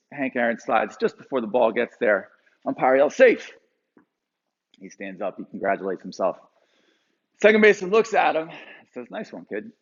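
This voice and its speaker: Man, 40s, from Pennsylvania, live storytelling talk, lively acted-out quotes, breathy low voice, muffled recording. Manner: animated storyteller, acts out quotes with fillers. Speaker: speaker telling a personal story.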